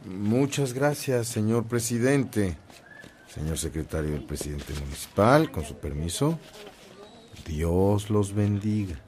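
Radio drama: devout, courteous priest, fairly low register, soft manners, faint background sound. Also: male voice, big pitch swings, slow pace, slight background noise. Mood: polite, flattering. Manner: polite, soft-spoken courtesy. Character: gentle, courteous, devout priest with quiet humor. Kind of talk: Spanish-language radio drama acting.

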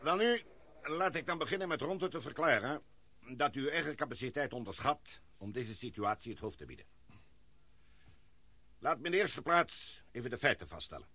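An actor playing an older, authoritative English gentleman who talks in a calm, courteous, commanding way in a radio play. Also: mid-range voice, moderate pace, long pauses, dry recording, exaggerated voice.

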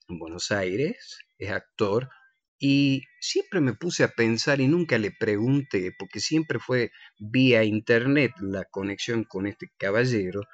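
Lively Argentine man hosting radio. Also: medium pitch, no echo. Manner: playful, teasing. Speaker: radio show host. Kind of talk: conversational radio presenting with greetings and banter. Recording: dull, lo-fi radio recording.

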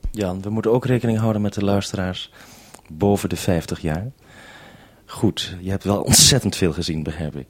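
A male radio presenter with a fairly low voice leads a live interview. He talks in a measured, orderly way, putting probing questions without a script. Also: steady tone.

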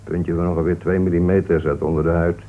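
A man playing a courteous, methodical police inspector in a Dutch radio play, musing calmly with small polite interjections. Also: low-pitched, fast. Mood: intrigued, deliberate. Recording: old radio recording, narrow tinny band, hiss.

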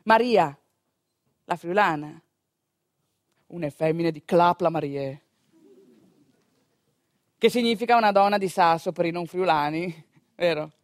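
A woman with a northeastern Italian accent telling a theatrical monologue in an expressive, conversational manner. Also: lowish voice, a little slow, pauses often, dry recording. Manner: expressive, conversational, with rhetorical questions and asides. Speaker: stage actor and storyteller.

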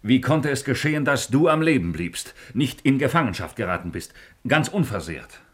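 An actor playing a troubled, wary Roman guard commander in a radio drama, with husky, hesitant, brooding speech. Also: male voice, mid-range voice, big pitch swings, moderate pace. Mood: wary, questioning. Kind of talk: 1950s German radio drama acting.

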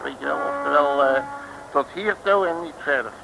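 An actor playing a blustering, muddle-headed detective who grumbles bossily in an old radio comedy serial. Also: male voice, fairly high pitch, animated, moderate pace, creaky, over music, rough recording. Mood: grudging, blustering. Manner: bossy, colourful grumbling. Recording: vintage mid-century radio recording.

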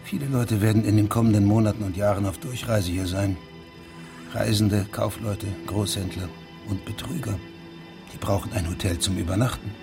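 A man plays a weary judge in a radio drama, his voice low and full of gravel. He speaks with restraint and slips away from commitment, measured throughout. Music sits clearly behind him. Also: steady tone, lightly acted. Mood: wistful. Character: weary, evasive male judge leaving a village. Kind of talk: German radio drama acting.

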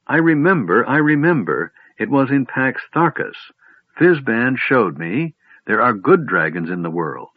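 A man voicing an excitable, curious, childlike male kender in a storybook reading, talking in eager bursts. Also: on the low side, measured pace, breathy edge, no room sound, exaggerated voice. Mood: excited. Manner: breathless, eager exclamations. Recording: dry tinny phone-like audio.

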